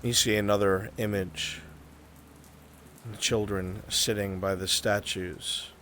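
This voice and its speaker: Slow, low-voiced man narrating a roleplay. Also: steady tone, fairly bright tone. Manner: deliberate, pausing between short descriptive phrases. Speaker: game master. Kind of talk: slow atmospheric narration of a live roleplay game. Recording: noisy, with rain ambience behind. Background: rain ambience.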